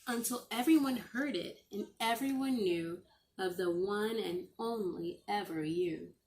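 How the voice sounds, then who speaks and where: Slow-paced woman reading a children's storybook. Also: medium pitch, darkish tone, low-quality recording. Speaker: teacher reading aloud. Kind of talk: expressive storybook read-aloud for young children.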